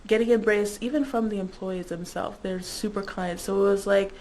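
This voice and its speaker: A woman's voice: medium pitch, fairly even intonation, normal speed, a little mellow, poor audio. A woman in her twenties, born in the Dominican Republic and raised in Indiana, talking casually about her internship as an interview guest. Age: 20s.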